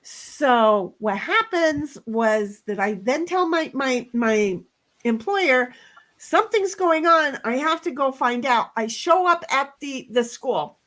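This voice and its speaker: Loud, blunt middle-aged woman storyteller. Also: sits high, takes it slowly. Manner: animated, blunt storyteller with bursts of loud laughter and swearing. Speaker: co-host. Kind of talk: casual storytelling chat.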